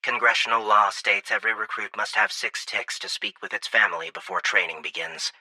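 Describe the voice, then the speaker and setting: A man's voice: lowish voice, a little fast, sharp tone, no room sound. A man voicing a dispassionate alien officer who speaks formally through a translator, in an audiobook. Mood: detached, official.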